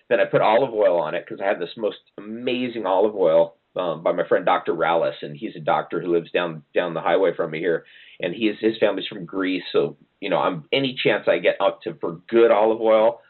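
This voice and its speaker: A man's voice: sits low, brisk. A middle-aged man from New Jersey, a guest talking casually and rambling with many ums and restarts. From New Jersey, USA. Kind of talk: casual interview answers. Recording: phone-like narrow audio.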